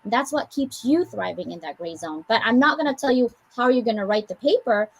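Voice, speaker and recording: Husky American-accented woman giving a pep talk. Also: on the high side, brisk, poor audio. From Philippines. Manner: animated, preachy pep talk with joking asides. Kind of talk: casual group chat, motivational.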